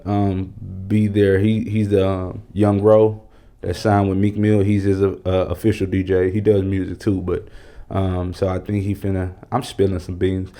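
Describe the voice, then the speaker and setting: Male, 40s, podcast guest. Deep-leaning, very mellow delivery, conversational and slangy. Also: flat delivery, normal speed. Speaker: podcast guest, brand strategist and producer.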